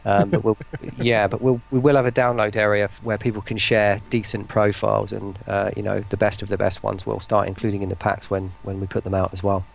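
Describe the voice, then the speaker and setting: Man, lowish pitch, very creaky, casual stream chat, developer guest. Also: little pitch movement, fairly quick, few pauses. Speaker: voice pack developer, interview guest. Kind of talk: casual livestream discussion.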